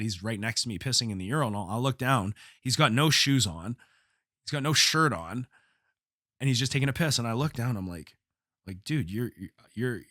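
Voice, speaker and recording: A man hosting a solo podcast as a coach, telling stories in blunt, profane, slangy talk, slightly hoarse from a cold. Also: mid-range voice, bright, forceful tone, no echo, clean studio sound. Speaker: podcast host, jiu-jitsu coach and gym owner. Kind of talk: casual solo podcast storytelling.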